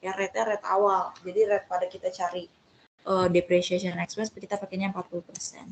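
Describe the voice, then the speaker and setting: A woman's voice: mid-range voice, level tone, fairly quick, slight background noise, poor audio. A woman giving an informal step-by-step tutorial as a tutor, conversational and often correcting herself. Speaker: accounting tutor.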